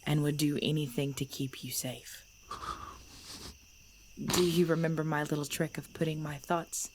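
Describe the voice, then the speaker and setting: A woman playing a centuries-old female vampire clan leader in an ASMR audio drama, composed and commanding, speaking in measured, formal phrases. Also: low voice, little pitch movement. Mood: earnest, firm. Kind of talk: ASMR audio roleplay acting. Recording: background noise with chirping crickets.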